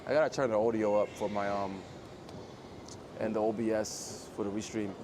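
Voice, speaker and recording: A man with a low voice chatting casually and rambling to his livestream viewers as he walks through an airport terminal. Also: level tone, normal speed. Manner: rambling, joking, talks quietly. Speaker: IRL livestreamer. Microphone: phone or camera carried while walking. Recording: noisy phone livestream audio.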